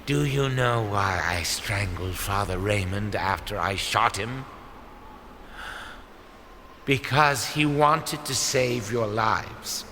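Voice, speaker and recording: A man playing a menacing, coldly obsessed gunman in an audio drama, taunting and deliberate in his delivery. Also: fairly low pitch, sharp tone. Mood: taunting menace. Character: menacing, coldly obsessed male villain with a gun. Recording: long hall reverb, noisy.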